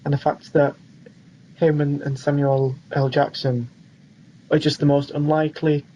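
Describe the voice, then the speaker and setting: A man's voice: a little high, little pitch movement, measured pace, mellow. A young man co-hosting a podcast, chatting casually about films in an easygoing, agreeable way. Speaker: podcast co-host. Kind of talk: casual film discussion. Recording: dull lo-fi voice track, faint noise.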